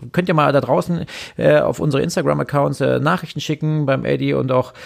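A man co-hosting a motorsport podcast in casual chat, rambling and animated with many fillers. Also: fairly high pitch, brisk, vocal fry. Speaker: podcast co-host, former racing driver.

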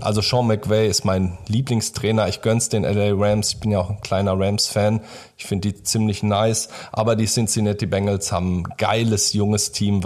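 A young man from Germany chatting casually as a podcast co-host, in a colloquial, slangy and enthusiastic way. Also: fairly low pitch. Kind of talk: casual chat.

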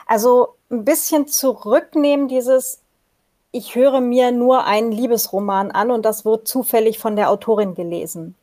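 Woman co-host, slightly high pitch, thinks aloud with many fillers and restarts. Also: a little mellow. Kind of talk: casual discussion about audiobook publishing.

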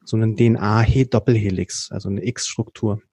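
Low, mellow man with a hesitant delivery. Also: steady tone, a little slow, slightly rough, dry recording, clean studio sound. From Berlin, Germany. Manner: explains at length with frequent hesitation fillers and restarts. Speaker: podcast guest, company co-founder. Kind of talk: casual conversational storytelling.

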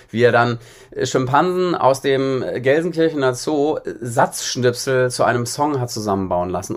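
A man from northern Germany speaks as the guest in an interview. His voice sits at a middle pitch. He talks conversationally, explaining his thoughts in a reflective manner. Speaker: interview guest, singer-songwriter.

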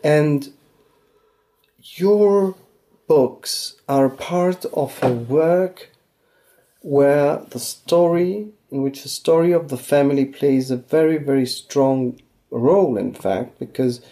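A man who is a publisher hosting a podcast interview, asking measured, deliberate questions and often answering "I see". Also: on the high side, warm tone.

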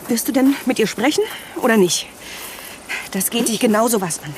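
A woman's voice: a little high, expressive, moderate pace, background noise, close to an everyday voice. An actress playing a defiant, agitated woman in an audio drama, speaking urgently and insistently to protect a frightened stranger. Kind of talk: naturalistic audio drama acting.

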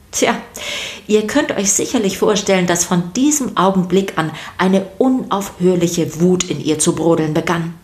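Slightly low-voiced woman narrating for children. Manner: expressive storyteller, addresses listeners directly. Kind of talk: scripted children's story podcast narration.

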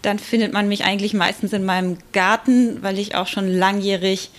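A female researcher with a medium-pitched voice, speaking as an interview guest. She explains things off the cuff, measured and with small hesitant pauses. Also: fairly even intonation.

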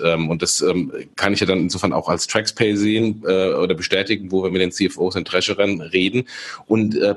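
A man's voice: low voice, breathy edge. A man co-hosting a podcast in casual chat, stuffed-up with a cold, hesitant and self-deprecating. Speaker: podcast co-host.

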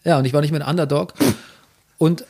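A man's voice: fairly high pitch, steady tone, fast talker, vocal fry, dark tone. A man from Bavaria with a Bavarian accent, telling stories in casual conversation with frequent restarts. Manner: chatty storyteller, frequent restarts.

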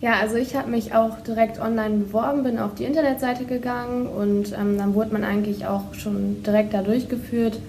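Woman, 20, from Lower Saxony, bank trainee, matter-of-fact, mellow voice. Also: steady tone, fairly quick, few pauses, a little hiss or hum. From Lower Saxony, Germany.

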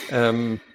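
A middle-aged man, a voice actor, chatting casually as an interview guest, hesitant with many fillers and quick to laugh. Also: medium pitch. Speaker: radio play voice actor, guest.

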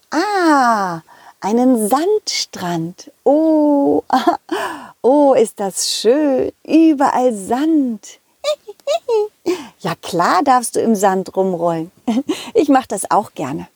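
A cheerful, loyal male dog from a children's audio play, as a woman puts him on, chatting straight to young listeners with giggles. His voice sits fairly high and swings about in a bouncy, lively melody. The sound is close and dry. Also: drawn-out words, long pauses, breathy edge, no room sound, heavily acted. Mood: playful. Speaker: storyteller.

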